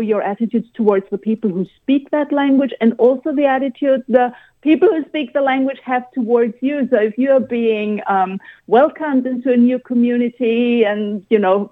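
A woman from Germany with a German accent, answering radio interview questions in a thoughtful, explanatory way with frequent pauses and ums. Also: medium pitch. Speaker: guest expert on language learning. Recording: phone-line interview audio.

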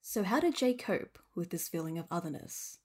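A woman with an Australian accent reading scripted documentary narration in a measured storytelling manner as the host. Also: fairly low pitch, sing-song, darkish tone, husky.

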